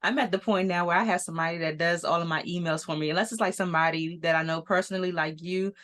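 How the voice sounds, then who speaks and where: A woman hosting a beauty podcast, chatting casually with her guest in a relaxed, conversational way. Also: on the low side. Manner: relaxed, conversational, quick asides. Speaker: podcast host. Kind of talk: casual interview chat.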